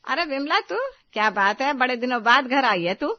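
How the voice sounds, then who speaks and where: A woman's voice: high voice, lively intonation, normal speed, no echo, muffled recording. A woman playing a kindly, indulgent middle-aged mother-in-law in story dialogue. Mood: pleased.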